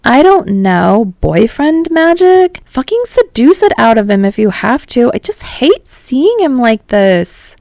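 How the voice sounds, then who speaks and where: A woman voicing a frank, caring, bossy teenage girl in an audio story, with a casual, emphatic delivery and drawn-out words. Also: on the high side, very animated, vocal fry, darkish tone, no echo, voice pushed up, heavily acted. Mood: exasperated.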